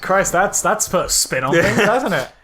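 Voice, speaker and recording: Man, moderately high pitch, casual comedic critique, conversational co-host. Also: animated, moderate pace, over a noisy crowd. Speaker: podcast co-host.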